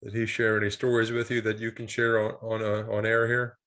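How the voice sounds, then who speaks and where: A man's voice: on the low side, completely flat delivery, fairly quick, fairly bright tone. A man hosting a podcast, asking brief, direct interview questions. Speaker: podcast host.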